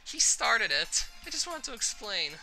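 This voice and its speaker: A cutesy, insistent teenage anime girl from a visual novel game as a man puts her on. The pitch jumps very high and the tone is petulant and piercingly bright. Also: big pitch swings, unhurried, vocal fry, soft background music, full character voice, low-quality recording. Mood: petulant bickering. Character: squabbling cutesy teenage anime girls in a club.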